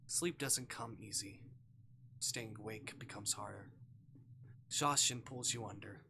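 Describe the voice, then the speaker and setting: A man's voice: fairly low pitch, unhurried, vocal fry, noisy background. A man who streams games, reading a visual novel aloud in a dramatic narrating manner. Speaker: game streamer.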